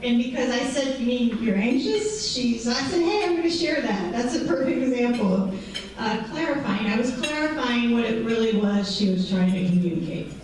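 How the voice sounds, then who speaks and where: A woman in her forties with a high voice, a counselor giving a conversational presentation to a patient group, peppered with um and right. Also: expressive, moderate pace, a little mellow. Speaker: mental health counselor. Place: large echoey event room. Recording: echoey hall, distant mic, faint noise.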